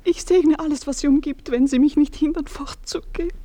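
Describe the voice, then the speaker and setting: An actress playing a troubled woman resolved to leave, speaking in a hushed, halting, breathy way. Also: sits high, close to an everyday voice. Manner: hushed, halting, often near a whisper. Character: troubled young woman determined to leave her lover. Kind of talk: radio play acting.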